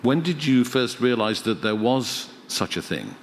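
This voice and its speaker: A man presenting a live radio programme from a theatre, asking measured, gently probing interview questions. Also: medium pitch, sing-song, big-room sound, slight background noise.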